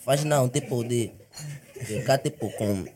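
A man's voice: medium pitch, a little slow, crowd noise. A nineteen-year-old man, a rapper guest who answers bashfully, laughs often and dodges personal questions. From Angola. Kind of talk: casual interview chat.

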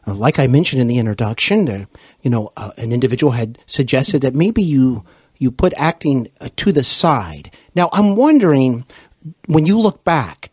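A man hosts an interview in a conversational tone. His pitch is average for a man, and he phrases things politely and at length, with frequent hesitations. The recording is dry and free of room echo. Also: a little mellow. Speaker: interview show host.

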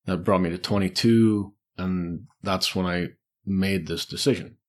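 A man's voice: low voice, steady tone, unhurried. A man in his forties, a podcast guest casually telling personal stories in an informal way, acting out remembered thoughts as he goes. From Lebanon, Czech Republic and the UAE. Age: 40s.

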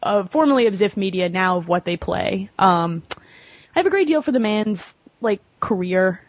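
Woman, husky, blunt casual gaming chat, dry muffled lo-fi audio. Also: mid-range voice, lively intonation, normal speed, dry recording. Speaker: podcast guest. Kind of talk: casual chat about video games.

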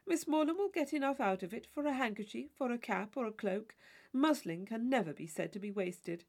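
Audiobook role: arch young gentleman, read by a woman, articulate, playful, highly varied intonation. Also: expressive, a little fast. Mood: mock grave. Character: witty, teasing young gentleman, mock-serious. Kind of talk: audiobook character dialogue.

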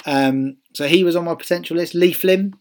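A man's voice: on the high side, moderate pace. A man with a Welsh accent chatting casually as a podcast co-host, musing aloud with ums and restarts. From Wales. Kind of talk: casual chat.

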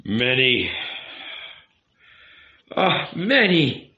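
A man voicing a tormented young man in an audiobook, bitter and ashamed, speaking with halting, brooding intensity. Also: mid-range voice, very animated. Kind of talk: dramatic audiobook character reading. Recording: roomy, echoing, slightly dull sound.

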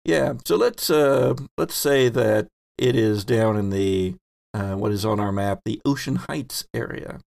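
A man with a gravelly, creaky voice guides the players through the scene at an unhurried pace. He narrates as game master, pausing often with fillers. The sound is dry and close. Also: lowish voice, a little mellow, no room sound. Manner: slow, halting narration with fillers.

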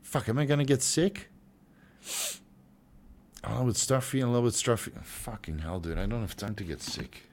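A young man hosting a casual livestream Q&A, joking and swearing freely, with his voice turning hoarse and stuffy. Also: mid-range voice, moderate pace, long pauses, darkish tone, slight background noise. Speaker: host answering viewer questions.

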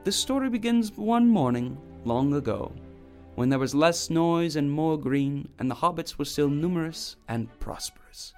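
A man's voice: on the high side, expressive, moderate pace, a little mellow, soft background music, lightly acted. A man playing a storyteller, narrating a fantasy tale in a scripted audio drama. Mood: whimsical storytelling. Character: animated male storybook narrator.

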